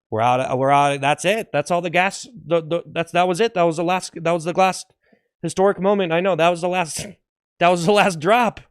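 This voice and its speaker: A man's voice: on the high side, clear, resonant tone, dry recording, studio quality, lightly acted. A man acting out a wistful farewell ride on the last gasoline in a comedy skit, sentimental and repetitive. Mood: fretful, fading.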